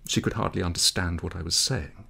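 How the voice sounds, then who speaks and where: A man reading a literary story aloud in a measured, dramatic way, with a gravelly, husky voice. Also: low-pitched, bright, forceful tone. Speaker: audiobook reader.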